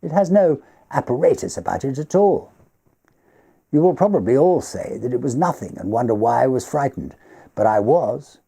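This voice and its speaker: A man's voice: mid-range voice, lively intonation, normal speed, creaky, dark tone, husky, pitched above the performer's own voice. A man voicing a genteel party host in a storybook, confiding and faintly haunted, with emphatic, refined conversational speech. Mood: hesitant, confiding. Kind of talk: storybook character dialogue.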